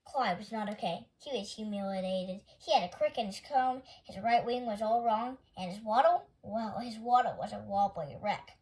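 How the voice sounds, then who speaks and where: A woman reading a children's picture book aloud as expressive storytime narration. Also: mid-range voice, normal speed, few pauses, rough recording. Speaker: storytime reader.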